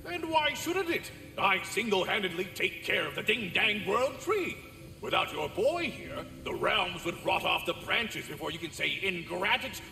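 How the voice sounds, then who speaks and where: A self-important, indignant squirrel spirit in a video game, loud and sharp. The high voice swoops with bombast and rings in a long reverb, music behind it. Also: male voice, very animated, normal speed, vocal fry, exaggerated voice. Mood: boastful indignation. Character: arrogant, boastful, indignant male squirrel spirit. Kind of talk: video game character acting.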